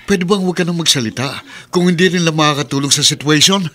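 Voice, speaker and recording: A man's voice: on the high side, fast, sharp tone. A man playing an earnest, loyal young groom in a radio soap, pleading in Tagalog mixed with English. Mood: upset, pleading. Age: young adult. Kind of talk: Philippine radio soap opera acting.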